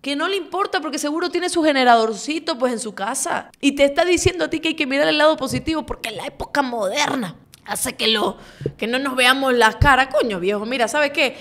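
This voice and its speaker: A woman's voice: high-pitched, a little fast, fairly bright tone. A woman, giving sarcastic, emphatic and indignant political commentary as a host. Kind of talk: opinionated political commentary to camera. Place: small furnished indoor studio. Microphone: desk condenser microphone, close.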